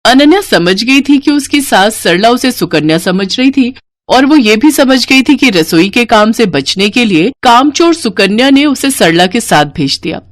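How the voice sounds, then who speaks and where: A woman narrating a scripted moral bedtime story in an expressive storytelling manner. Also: on the high side, moderate pace, no echo.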